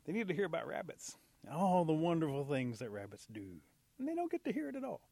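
A man voicing a cheeky, stubborn, excitable rabbit in a storybook tale, grumbling and emphatic. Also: fairly high pitch, fast, creaky, no echo, pitched above the performer's own voice, full character voice. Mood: fuming. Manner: grumbling, repetitive, swinging emphasis.

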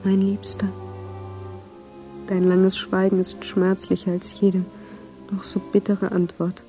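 A woman's voice: mid-range voice, completely flat delivery, takes it slowly, warm tone, faint music behind. An actress playing a heartbroken young woman voicing her farewell love letters in a radio drama, subdued and sorrowful. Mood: despairing.

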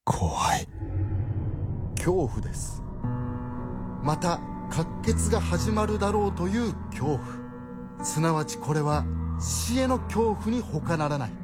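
A man's voice: high voice, big pitch swings, slow, long pauses, loud music behind the voice, heavily acted. A man playing an anguished doctor-novelist who is feverishly afraid of death, in a radio drama monologue. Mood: fearful, fervent.

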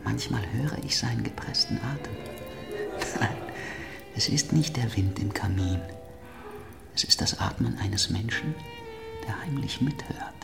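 An actor playing an uneasy, suspicious baron in a German radio drama, blunt and confiding in manner. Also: male voice, on the low side, a little slow, creaky, pauses often, reverberant, over music.

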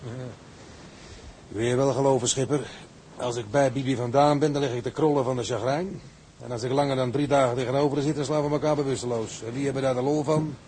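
Male actor, grumbling sailor, medium pitch, blunt and colloquial, radio drama, light background noise. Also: fairly even intonation, fast, mellow, lightly acted. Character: rough, grumbling, wary sailor on a tugboat. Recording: noisy radio play with background ambience.

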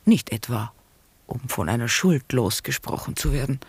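An actress playing a weary, reflective middle-aged married woman in a German radio drama, with a breathy, hushed and grave delivery. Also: a bass voice, animated, normal speed, no room sound, full character voice. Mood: pensive. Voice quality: husky, breathy. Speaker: actor.

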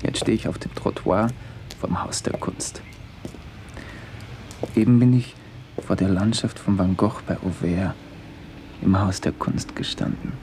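An actor playing a brooding, wry writer who muses in halting fragments in a radio play monologue. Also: male voice, low voice, fairly even intonation, a little mellow. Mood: musing, melancholy. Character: brooding wandering writer, a man, wry and melancholy. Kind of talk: first-person literary radio play monologue. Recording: clearly noisy ambient radio recording.

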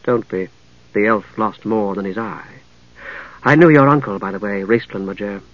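Audiobook role: commanding, educated middle-aged noblewoman warrior, performed by a man, low, hushed, composed, muffled audio. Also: normal speed, no echo, close to an everyday voice. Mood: wry, composed. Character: regal, knowing middle-aged female knight in disguise.

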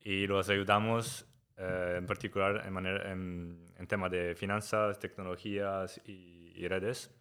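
Deep-voiced man with an Italian accent. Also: flat delivery, fairly bright tone, clear, resonant tone. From Italy. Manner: halting, searches for words, many fillers. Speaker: guest, cooperative member. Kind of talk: casual podcast conversation.

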